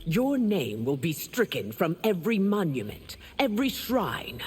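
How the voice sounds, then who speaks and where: A woman playing a regal, stern empress in a video game, speaking formally and with measured command. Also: lowish voice, very animated, a little mellow, breathy edge, heavily acted. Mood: stern, wrathful. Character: regal, stern warrior empress, compassionate but wrathful. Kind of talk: dramatic video game acting. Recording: long reverb, faint background noise.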